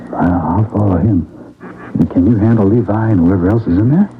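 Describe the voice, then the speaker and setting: Actor as an earnest detective sidekick, tinny sound. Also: male voice, lowish voice, normal speed, close to an everyday voice. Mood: hushed, cautious. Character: earnest, cautious male detective sidekick on a stakeout. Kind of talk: old-time radio drama acting. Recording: narrow, noisy vintage radio recording.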